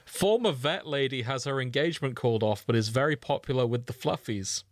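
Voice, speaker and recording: A man's voice: mid-range voice, brisk, no room sound, clean studio sound. A man co-hosting a podcast with casual, sweary comedic banter and mock exasperation, often reading things out. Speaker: podcast co-host.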